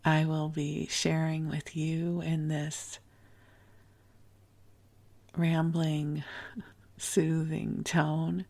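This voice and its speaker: A woman with a low voice speaks very softly and unhurriedly, as host of a spiritual sleep podcast. Her hushed delivery has a creaky edge and a soothing, rambling flow meant to lull the listener. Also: monotone. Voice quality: soft, hushed, breathy at phrase ends. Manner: deliberately slow, gentle, soothing delivery.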